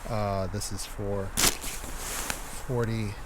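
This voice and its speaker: A man narrating a casual vlog outdoors in a snowy park, halting with many ums and uhs. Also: lowish voice, little pitch movement. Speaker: vlogger doing an outdoor radio activation. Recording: loud outdoor noise. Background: loud outdoor ambience.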